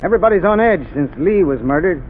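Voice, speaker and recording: An uneasy hospital worker. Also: male voice, high-pitched, animated, fast. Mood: puzzled. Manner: casual, puzzled. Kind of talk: 1930s radio drama acting. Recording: tinny old 1930s radio recording with music.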